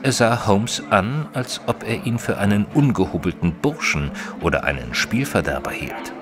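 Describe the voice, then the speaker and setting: Male actor as detective's companion narrating, very low voice, measured, literary, music behind, audio drama. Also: animated, natural voice. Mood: composed.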